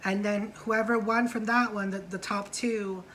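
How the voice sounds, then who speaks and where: A woman's voice: medium pitch, fairly even intonation, a little slow, fairly bright tone. Chatty woman with noise behind. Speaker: vlog host reviewing a TV show. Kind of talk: casual chatty review.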